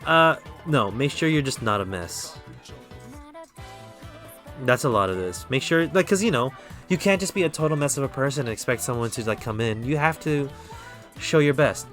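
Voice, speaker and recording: A man with an American accent giving a relaxed, rambling tarot reading on a live stream, often stopping to correct himself. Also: mid-range voice, normal speed, soft background music. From Philippines.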